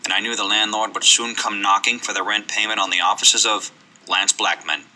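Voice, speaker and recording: A man playing a world-weary private eye, narrating his case in a deadpan, brooding film noir radio theater style. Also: lowish voice, fairly quick, slight background noise, pitched below the performer's own voice, poor audio.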